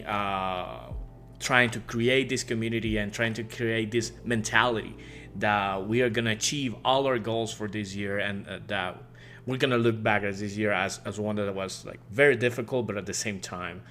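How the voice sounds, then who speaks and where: A man's voice: fairly low pitch, normal speed, a bit bright, soft background music. A man in his thirties from Puerto Rico, a native Spanish speaker with a Puerto Rican accent, giving a friendly, rambling welcome talk to parents as a teacher, full of fillers and restarts. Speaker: high school teacher and advisor. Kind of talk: casual welcome video for parents.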